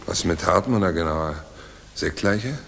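Gruff loyal army orderly, deep gravelly voice, old noisy radio play. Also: male voice, lively intonation, normal speed. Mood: dry, obedient. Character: laconic, loyal military orderly of the general. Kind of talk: 1960s German radio drama acting. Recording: noisy, reverberant 1960s mono radio recording.

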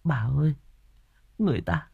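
A man's voice: on the high side, sing-song, moderate pace, vocal fry, breathy edge, no room sound, narrow, tinny audio, pitched above the performer's own voice, full character voice. A man voicing a frightened, delirious young woman in an audio story, pleading and repeating phrases. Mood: weak, faint. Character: terrified, delirious young woman begging for mercy.

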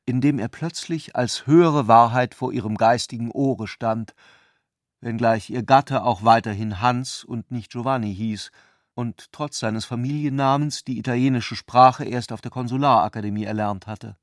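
A man's voice: medium pitch, moderate pace, no echo. Man narrating literary prose, dry studio sound. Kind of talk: scripted narration for a radio play.

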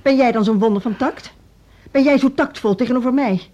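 An actress playing a firm school director in a naturalistic radio drama, speaking in a cool, measured, formal manner. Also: female voice, a little high, warm tone, close to an everyday voice. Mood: cutting, controlled. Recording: dull, muffled radio play recording.

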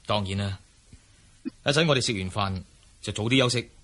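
A man's voice: fairly low pitch, moderate pace, fairly bright tone. A man with a Hong Kong Cantonese accent playing a bold, friendly swordsman in a martial-arts radio drama. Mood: friendly, teasing. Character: bold, unrestrained young swordsman, a friendly elder brother.

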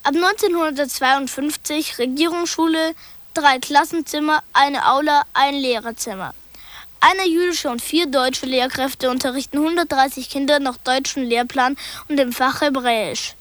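A woman's voice: sits high, moderate pace, a bit bright, no room sound. A woman narrator reading archival documents in a neutral, detached manner.

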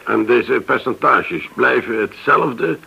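An actor in a radio drama playing a reserved, businesslike client who speaks politely and a little guardedly. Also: male voice, mid-range voice, animated, slow, vocal fry, husky, dull audio, exaggerated voice. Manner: polite, guarded, slightly hesitant. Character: reserved, businesslike male client negotiating discreetly for partners.

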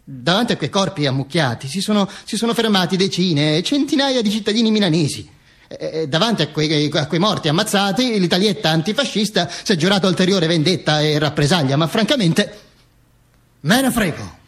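Dead dictator telling his own macabre tale, radio play, husky highish voice, pompous n sardonic, pitch all over the place. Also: animated, a bit bright, heavily acted. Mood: halting, scornful. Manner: declamatory, halting, with hesitant stammers and repeats. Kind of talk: theatrical radio monologue.

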